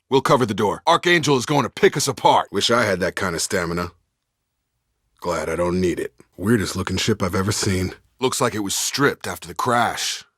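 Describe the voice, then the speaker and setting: A man's voice: medium pitch, sharp tone, no echo, clean studio sound. A man playing a tough, disciplined space soldier in a video game, giving clipped, terse military lines. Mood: focused, serious. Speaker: squad soldier character. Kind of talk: video game combat barks and dialogue.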